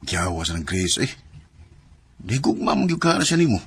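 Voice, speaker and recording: A man's voice: low voice, very animated, normal speed, a bit bright, slightly rough, heavily acted. An actor with a Cebuano accent playing a blunt, sceptical young male friend in a radio drama. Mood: puzzled, disapproving. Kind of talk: Philippine radio drama acting.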